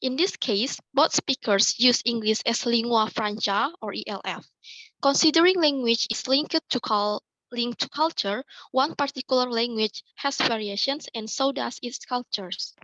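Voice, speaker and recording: A woman's voice: on the high side, measured pace, fairly bright tone, no echo, poor audio. A young woman presents her student research. She speaks hesitantly, often repeating and restarting words. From Indonesia. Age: young adult. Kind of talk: academic presentation. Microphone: video call.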